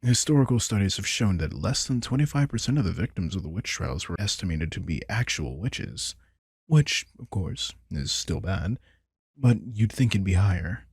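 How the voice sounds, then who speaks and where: A man acts out a powerful vampire king in an intimate roleplay drama. His voice is low and gravelly with plenty of fry, recorded very dry and close. He speaks softly and calmly to a single listener, protective and a little teasing. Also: normal speed, no room sound, exaggerated voice. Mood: serious, wry. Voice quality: husky, gravelly, breathy. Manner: soft-spoken, conversational, speaking to one listener. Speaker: audio roleplay voice actor.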